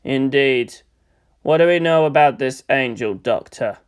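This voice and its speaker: A narrator voicing a gloomy male commander with terse, measured speech, in an audiobook. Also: medium pitch, smooth, pitched below the performer's own voice, natural voice. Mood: cool, inquiring.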